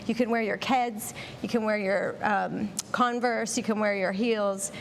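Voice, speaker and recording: A woman's voice: mid-range voice, fairly even intonation, a little slow, gravelly, big-room sound, a little hiss or hum. A woman guest explaining event details in a conversational interview as an organiser.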